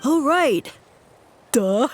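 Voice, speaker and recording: A woman voicing a slow-witted little fungus sidekick who gushes with admiration. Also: high voice, expressive, a little hiss or hum, full character voice. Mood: eager. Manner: eager, gushing, slow-witted. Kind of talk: video game voice acting.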